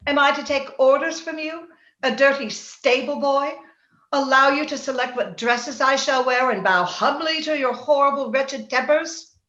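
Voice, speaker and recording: A woman playing a proud, willful young gentlewoman torn by romance, theatrical and emotional in old-time radio drama style. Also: high-pitched, normal speed. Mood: haughty, scornful. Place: indoor room, recorded remotely over video call. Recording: roomy, dull video-call recording.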